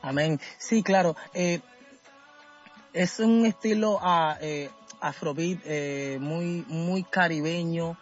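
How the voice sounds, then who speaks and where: A man from Venezuela talking casually as a guest in a radio interview, halting and often searching for his words. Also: a little high, lo-fi sound, faint music behind. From Caracas, Venezuela. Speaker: musician being interviewed.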